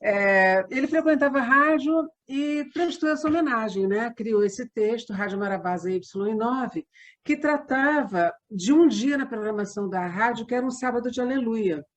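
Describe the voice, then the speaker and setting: Female interviewee, Brazilian accent, slightly raised pitch, conversational storyteller, halting and digressive. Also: expressive. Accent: Brazilian Portuguese. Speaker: interview guest, theatre company member.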